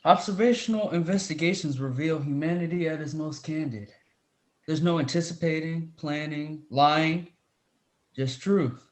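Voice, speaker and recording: Man acting a measured professor in an echoey room. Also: fairly high pitch, lightly acted. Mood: dismissive. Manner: measured, condescending. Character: unhurried, dismissive professor in his sixties. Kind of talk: dramatic script reading. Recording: roomy, echoing sound.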